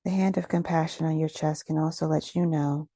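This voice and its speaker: A woman leading a guided meditation, giving gentle, soothing instructions with unhurried phrasing and pauses. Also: sits low, steady tone, breathy edge, no echo.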